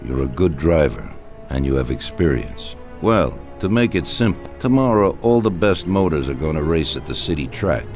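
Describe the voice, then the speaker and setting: An actor playing an older male mob boss, shrewd and commanding, who gives orders in a slow, deliberate way in a video game cutscene. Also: low voice. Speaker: crime boss. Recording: clear music bed behind voice. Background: music.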